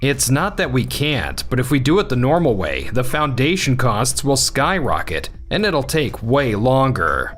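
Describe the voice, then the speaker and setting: A man's voice: mid-range voice, very animated, unhurried, few pauses, a bit bright, slight background noise. A man voicing a worried, earnest construction manager who explains a problem in a manga dub. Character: worried middle-aged male construction manager.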